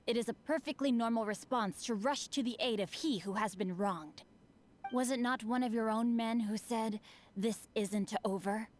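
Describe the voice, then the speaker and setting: A woman voicing a refined, bookish teenage boy swordsman who speaks formally and calmly. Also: fairly high pitch, a little hiss or hum, natural voice. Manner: eloquent, composed, formal.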